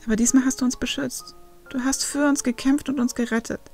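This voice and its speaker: A woman's voice: high-pitched, fairly even intonation, a little fast, a little mellow, pitched above the performer's own voice. Woman voicing an earnest, reassuring young man. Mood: gentle, forgiving. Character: caring, reassuring, forgiving young male friend. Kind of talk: acted audiobook dialogue. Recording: faint music behind the voice.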